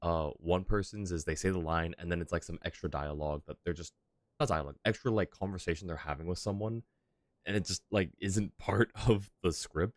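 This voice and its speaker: Deep-voiced man casually streaming a game. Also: a little fast, dry recording. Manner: rambling, laughing, filler-heavy. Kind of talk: casual livestream gameplay commentary. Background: faint game music.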